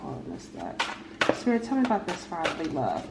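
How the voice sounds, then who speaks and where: A woman's voice: low voice, huge pitch swings. Woman reading tarot in an echoey room. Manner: halting, repeats phrases, talks to spirit and cards. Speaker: tarot reader, channel host. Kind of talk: casual tarot card reading, unscripted. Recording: echoey room with faint background noise.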